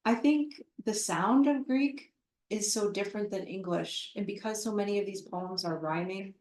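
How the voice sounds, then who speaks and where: Halting woman talking in an echoey room. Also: mid-range voice, a little mellow. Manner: thoughtful and halting, with many fillers and restarts. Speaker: literary translator, interview guest. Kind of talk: live conversation at a public book talk. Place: event room at a literary center. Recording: roomy echo, voice from far off the mic.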